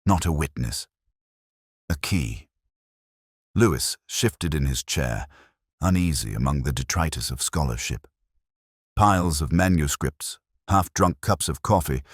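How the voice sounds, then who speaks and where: A man playing a weary, introspective English police detective, recounting a case in measured, literary first-person narration. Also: very deep voice, no echo, studio quality, exaggerated voice.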